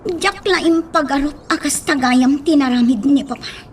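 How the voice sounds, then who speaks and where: An actress playing a distressed, insistent young daughter in a radio love drama, with a Filipino accent. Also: female voice, high-pitched, moderate pace, a little hiss or hum, lightly acted. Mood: upset, determined.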